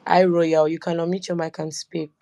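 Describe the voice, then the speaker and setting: A woman's voice: on the low side, flat delivery, moderate pace, mellow, dry recording, highs cut off. A woman co-hosting a live audio room, chatting and calling speakers up one after another. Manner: chatty, organizing speakers in turn. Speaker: co-host moderating the audio room. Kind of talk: casual moderation of a live audio chat.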